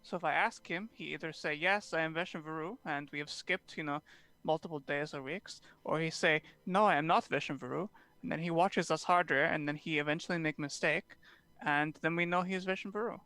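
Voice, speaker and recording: A man's voice: fairly high pitch, brisk, bright, forceful tone, clear, resonant tone, no room sound, poor audio. A man playing Gruthkul, a blunt warrior in broken English. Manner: broken English, drops articles, blunt and plain. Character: gruff male warrior Gruthkul, blunt and boastful. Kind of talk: in-character tabletop roleplay.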